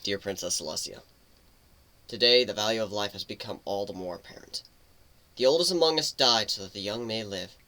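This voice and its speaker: Man voicing a bright, forceful griffin leader. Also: fairly low pitch, lively intonation, brisk, poor audio. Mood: wistful. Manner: solemn, emphatic oratory. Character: gruff, rousing male griffin crew leader. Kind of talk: dramatic reading of fan fiction.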